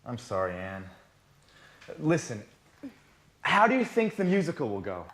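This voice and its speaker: An actor playing a nervous, apologetic singer in a scripted sitcom for language learners, speaking anxiously and clearly. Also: male voice, mid-range voice, wildly swooping pitch, measured pace, fairly bright tone, a little hiss or hum. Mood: apologetic, fretful. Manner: anxious, self-doubting. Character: nervous, apologetic, clumsy male professional singer before a show. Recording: echoey room sound.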